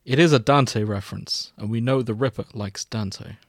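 Man, co-host, casual chat, average pitch, dry recording. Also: normal speed, no room sound. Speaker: co-host and reader.

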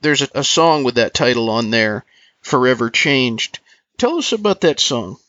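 A man's voice: mid-range voice, expressive, moderate pace, sharp tone, no room sound, lo-fi sound. A man hosting an interview show, presenting his guest and putting questions to him. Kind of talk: scripted introduction and friendly interview questions.

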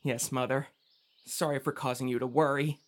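A man's voice: mid-range voice, level tone, normal speed, natural voice. A man playing a dutiful, grateful young newlywed son in a religious audio drama, earnest and respectful. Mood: respectful, cheerful.

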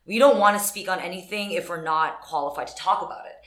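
A woman co-hosting a casual podcast, frank and reactive. Her voice is a bit low yet bright and edgy. The room around her rings with echo. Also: fast. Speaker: podcast co-host. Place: coworking room.

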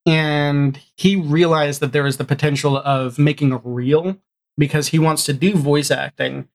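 A man chatting casually as an interview guest, telling stories in an animated way and acting out bits of conversation. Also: fairly high pitch, a little slow, gravelly. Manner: animated storyteller, acts out quoted exchanges. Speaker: guest, audio drama showrunner.

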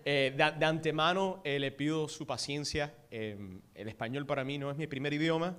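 A man's voice: on the high side, normal speed, fairly bright tone, smooth. Fervent man preaching in a church. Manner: mixes in English words, intense rising prayer. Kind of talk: church sermon and prayer.

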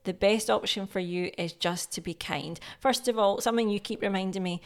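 A woman's voice: mid-range voice, a little fast. A woman with a Scottish accent co-hosting a podcast, talking in a chatty, reflective way and often chiming in with agreement. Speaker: podcast co-host. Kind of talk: casual business chat.

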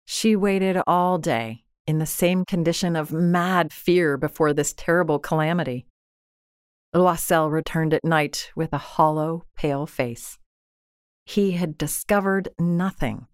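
Low-voiced woman narrating a story. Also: unhurried, clean tone, dry recording, clean studio sound. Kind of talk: scripted dramatic narration of a literary short story.

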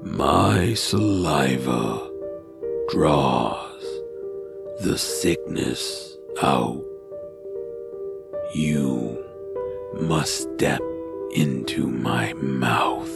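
A man playing a huge, sly man-eating rock monster in an audio drama, gravelly, halting and solemn, with a falsely tender coaxing manner. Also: rumbling low, over music, full character voice. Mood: slow, coaxing.

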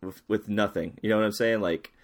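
A man's voice: lowish voice, fairly even intonation, fairly quick. A man co-hosting a casual movie podcast, hesitant and restarting his sentences often. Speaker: podcast co-host. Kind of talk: casual movie-review chat.

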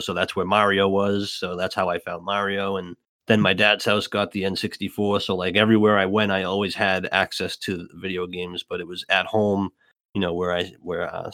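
Hesitant deep-voiced man. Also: fairly bright tone, dry recording. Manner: hesitant, lots of fillers. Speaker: podcast co-host. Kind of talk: casual chat.

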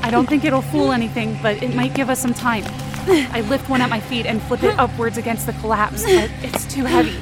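A woman's voice: fairly high pitch, fairly quick. A woman performing first-person scripted fiction narration in a suspenseful, intense dramatic manner. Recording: music bed under the voice. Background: dramatic background music.

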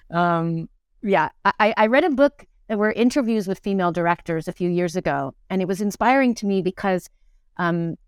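A middle-aged woman with a smooth, clear voice that sits a little low, recorded close and dry. She talks reflectively and casually, like an interview guest thinking things through as she goes. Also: dry recording. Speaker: guest, actor, director and acting teacher.

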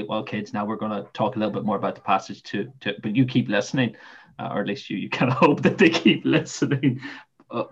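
A man with a Northern Irish accent hosting a podcast, with lively, laughing asides. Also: on the low side, husky, highs cut off. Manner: warm, laughing, animated asides. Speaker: podcast host. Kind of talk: casual podcast chat.

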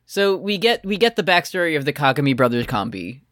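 A man's voice: a little high, big pitch swings, fairly quick, fairly bright tone, dry recording, clean studio sound. A man co-hosting a casual comedy recap, joking and sarcastic, often acting out quotes as he talks. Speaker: podcast co-host.

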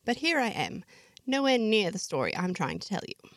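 A woman's voice: medium pitch, very animated, normal speed, dry recording, clean studio sound. A woman narrating a serialized fantasy story in the first person, in a chatty, diary-like way with asides to the listener. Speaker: narrator of a serialized fiction podcast.

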